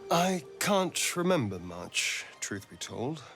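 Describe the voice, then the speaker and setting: A man's voice: on the high side, very animated, slow, music in the background, full character voice. A man playing a sardonic vampire spawn in a video game, with an arch, dramatic delivery. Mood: wry, bitter. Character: theatrical, sardonic male vampire spawn, former magistrate. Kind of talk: video game character acting.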